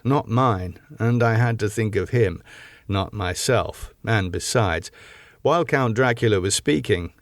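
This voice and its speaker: A man reads a gothic novel as a scripted audiobook. His voice sits fairly low, and his delivery is measured and formal. The recording is close and very dry, without room sound. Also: no echo. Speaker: audiobook narrator.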